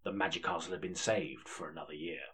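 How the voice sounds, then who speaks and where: A British audiobook narrator in a comedy. His voice is low and husky. He comes across as polite and a little hesitant, the sort who reads high fantasy with care. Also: steady tone, quick pace, pushed and bright, exaggerated voice. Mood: solemn narrating.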